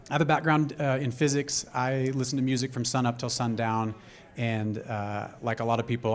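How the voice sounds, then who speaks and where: A man giving a business pitch on stage through a microphone, halting and repeating words as he addresses a live audience. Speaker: entrepreneur pitching a product.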